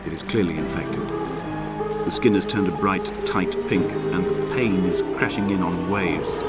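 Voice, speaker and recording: An actor playing a lone, grieving castaway, narrating in a measured, solemn voice as a literary game monologue. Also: male voice, deep voice, natural voice. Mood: pained, resigned. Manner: brooding, measured, solemn, poetic delivery. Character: lone, grieving, injured male castaway narrating his island exile. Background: prominent music.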